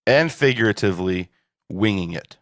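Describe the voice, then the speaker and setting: A man co-hosting a casual podcast, dry and deadpan, reading listener reviews with a chuckle. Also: lowish voice, moderate pace, no echo. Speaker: podcast co-host.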